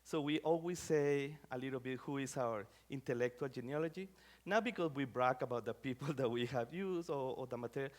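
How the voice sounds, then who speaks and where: A man's voice: mid-range voice, brisk. Man giving a casual lecture. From Costa Rica. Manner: conversational, many fillers, non-native phrasing. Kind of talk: academic public talk.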